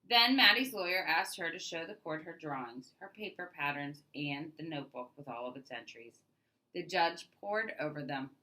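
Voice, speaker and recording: A woman's voice: low-pitched, expressive, moderate pace, bright, forceful tone, rough recording. A woman reading a children's story aloud as narrator, leaning into the start of phrases and trailing off at their ends.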